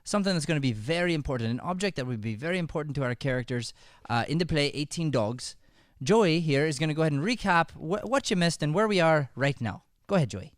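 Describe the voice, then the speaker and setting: A man's voice: fairly high pitch, expressive, fast, no echo, clean studio sound. A man casually hosting a live improv show into a podcast microphone.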